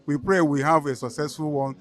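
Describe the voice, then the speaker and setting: Solemn Nigerian man, fairly high voice. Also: steady tone, brisk. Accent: Nigerian English. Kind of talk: reflective speech.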